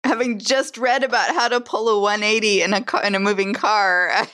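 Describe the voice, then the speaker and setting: A woman's voice: medium pitch, fairly even intonation, a little fast, a bit bright, clean tone. A woman playing a tabletop role-playing game on a podcast, talking casually and in character.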